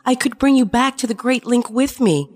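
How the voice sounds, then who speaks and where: A woman's voice: fairly high pitch, normal speed, a little mellow, close to an everyday voice. A woman playing a shapeshifting alien spy in an audio drama, a conflicted lover pleading her case earnestly. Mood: tender, pleading. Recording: long reverberant hall sound.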